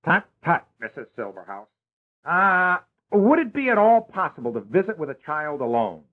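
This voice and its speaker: A man's voice: on the high side, very animated, moderate pace. A man playing a kindly older godfather who narrates a fairy tale in a theatrical, playful way. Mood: warm. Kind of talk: storybook narration. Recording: tinny old lo-fi recording.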